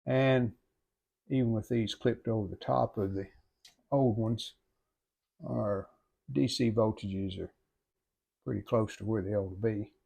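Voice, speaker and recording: Middle aged guy w low gravelly voice talking thru a repair, folksy n matter of fact. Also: fairly even intonation, measured pace, long pauses, dark tone. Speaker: hobbyist repair vlogger. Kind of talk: unscripted workbench how-to commentary. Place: indoor home workshop at a workbench.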